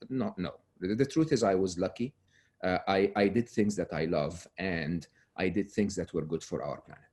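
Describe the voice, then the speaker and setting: A man's voice: low-pitched, a little mellow. A man, a guest talking earnestly over a remote call, often restarting and dropping his voice to close a point. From Egypt. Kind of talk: relaxed interview talk.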